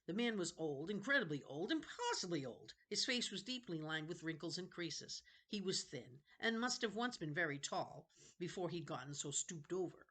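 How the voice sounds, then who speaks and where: Low-voiced woman reading a children's novel. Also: sing-song, normal speed, a bit bright. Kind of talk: storybook narration read aloud.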